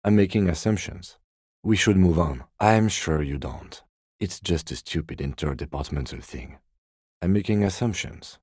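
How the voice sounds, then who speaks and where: An actor playing a composed, dry-witted middle-aged police detective in a video game, speaking in a measured, formal, deadpan way. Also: male voice, sits low, husky, no echo. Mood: stern, formal. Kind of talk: video game character dialogue.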